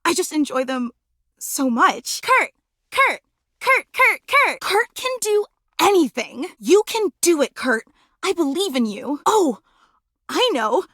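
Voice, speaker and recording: Gushy superfan game girl, high voice that swoops everywhere, excitable n dramatic. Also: slow, no room sound, studio quality, heavily acted. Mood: cheering, supportive. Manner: excitable, dramatic, slangy gushing. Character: bubbly, gushing superfan woman, intensely devoted cheerleader. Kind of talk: video game character voice acting.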